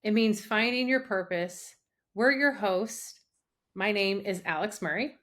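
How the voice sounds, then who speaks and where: A woman's voice: mid-range voice, a little slow, fairly bright tone. A woman co-hosting a podcast who also works as a business coach; she reads the show's intro in an upbeat way and opens the interview.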